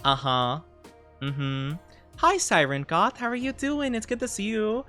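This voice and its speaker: A man's voice: sits high, big pitch swings, unhurried, bright, forceful tone, faint music behind. A man streaming live, chatting casually with viewers in a playful, mock-offended way, laughing often, his voice wearing thin. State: losing his voice. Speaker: VTuber streamer.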